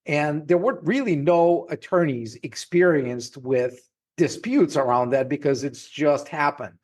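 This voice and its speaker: A middle-aged man from Russia with a Russian accent, a podcast guest recounting his story in a lively, anecdotal way. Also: a little high, a little slow. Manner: lively anecdotal, laughs while talking. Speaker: podcast guest, trademark lawyer. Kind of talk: casual storytelling interview.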